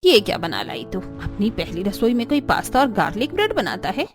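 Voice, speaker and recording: A woman's voice: medium pitch, big pitch swings, fairly quick, soft background music, natural voice. A woman voicing a haughty, quarrelsome middle-aged mother-in-law in a cartoon story, scolding and boastful. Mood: scolding, demanding. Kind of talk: Hindi cartoon story voice acting.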